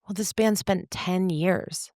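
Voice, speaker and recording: Low-pitched woman, earnest podcast host, close dry sound. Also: slow pace, a little mellow, no room sound. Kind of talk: reflective podcast conversation.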